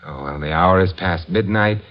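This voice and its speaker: A man playing a suave, wry gentleman adventurer in a 1950s radio drama, with a smooth, urbane delivery. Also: low-pitched, normal speed, natural voice. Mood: wry, amused. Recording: dull lo-fi 1950s radio transcription.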